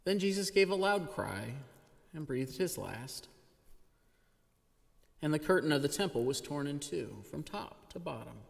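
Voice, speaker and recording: A man giving an expressive, dramatic scripture reading as a reader at a church service. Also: a little high, measured pace, vocal fry, pauses often. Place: church sanctuary. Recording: reverberant church hall.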